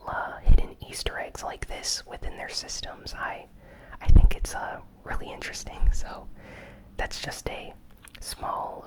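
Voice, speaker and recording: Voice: extremely high pitch, low-quality recording. Whispered ASMR gaming news host. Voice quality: soft unvoiced whisper. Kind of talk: whispered ASMR news recap with casual commentary.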